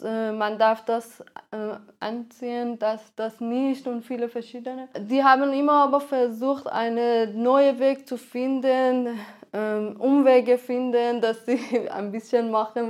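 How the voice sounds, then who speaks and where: A woman's voice: fairly high pitch, a little mellow. A woman in her thirties from Iran with a Persian accent, speaking German as a second language and answering interview questions with frequent hesitations and restarts. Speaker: guest, filmmaker. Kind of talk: podcast interview.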